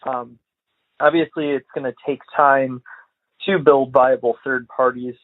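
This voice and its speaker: A man from Illinois leaving a voicemail for a podcast, talking in a measured, hesitant way with lots of fillers. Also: mid-range voice, fairly even intonation. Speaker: caller. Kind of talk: voicemail commentary. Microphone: phone. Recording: tinny phone-line voicemail.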